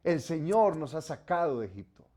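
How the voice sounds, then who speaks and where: A man from Colombia, preaching a sermon as pastor, rising on commands and dropping low and soft on solemn warnings. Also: very animated, moderate pace.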